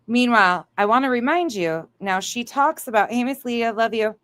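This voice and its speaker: A woman's voice: fairly high pitch, lively intonation, normal speed, fairly bright tone, no echo. A woman hosting a livestream, reacting and chatting to viewers in a blunt, indignant, sweary way. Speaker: livestream host.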